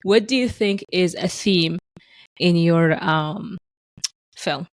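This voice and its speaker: Animated woman podcast host. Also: on the low side, takes it slowly. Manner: animated storyteller, acts out quoted voices; frequent restarts and fillers. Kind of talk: casual conversation.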